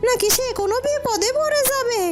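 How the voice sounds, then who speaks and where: Woman playing an anxious, piercingly high palace maid. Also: brisk, soft background music. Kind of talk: melodramatic cartoon voice acting.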